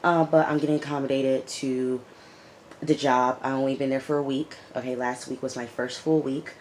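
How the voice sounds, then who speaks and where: A woman with a raspy, hoarse voice from recent illness, reviewing a TV episode in a casual vlog and repeating her points for emphasis. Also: rumbling low, fairly even intonation, moderate pace, darkish tone, slight background noise. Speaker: YouTube TV reviewer.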